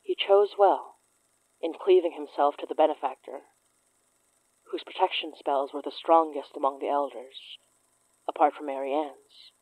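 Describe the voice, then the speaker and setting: A performer playing a solemn chronicler in a horror audio drama, reading a dossier in a measured, formal, deliberate way. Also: medium pitch, fairly even intonation, a little mellow, no echo, natural voice, low-quality recording. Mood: ominous, measured.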